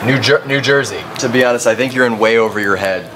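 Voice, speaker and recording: A man playing a good-natured, exasperated teenage cashier in a comedy sketch, halting and understated. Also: mid-range voice, noisy background, natural voice. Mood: incredulous. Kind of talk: mockumentary comedy sketch acting.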